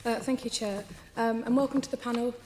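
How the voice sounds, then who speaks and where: Croaky woman assembly member. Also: a little high, fairly even intonation, a little fast, a little mellow, slight background noise. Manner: long winding questions with fillers. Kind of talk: committee questioning.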